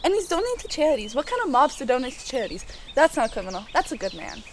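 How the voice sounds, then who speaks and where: Woman as an insistent, high-pitched 1920s wife. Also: sing-song, quick pace, a little hiss or hum. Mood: insistent, defensive. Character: insistent 1920s wife defending a popular gangster. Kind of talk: scripted street interview.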